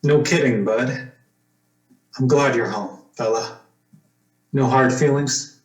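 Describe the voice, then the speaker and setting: A man's voice: medium pitch, slow, natural voice. A man playing a bitter, wronged young man in an old-time radio drama, with a curt, resentful delivery. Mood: softening. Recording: roomy, echoing recording.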